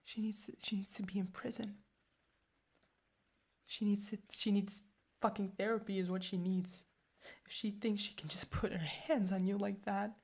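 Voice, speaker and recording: A woman's voice: mid-range voice, level tone, moderate pace, long pauses, a little mellow, husky. A young woman playing a protective girlfriend who is furious and hurt, mostly whispering with stumbling, intense outbursts in an ASMR roleplay. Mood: angry, shocked.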